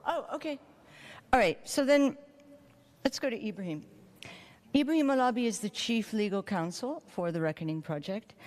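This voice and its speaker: A woman in her forties moderating a conference panel with a handheld mic, lively and joking between questions. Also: mid-range voice. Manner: lively, chatty, joking asides. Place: large conference hall with audience. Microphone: handheld microphone. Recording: long hall reverb.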